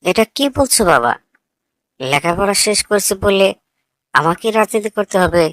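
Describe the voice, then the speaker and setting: A woman's voice: mid-range voice, animated, moderate pace, no echo, lightly acted. A woman voicing a defiant, idealistic young graduate in a cartoon, arguing in a sarcastic, lecturing way. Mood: indignant. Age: young adult. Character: defiant, idealistic grown child of a politician, foreign-educated. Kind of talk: scripted cartoon dialogue.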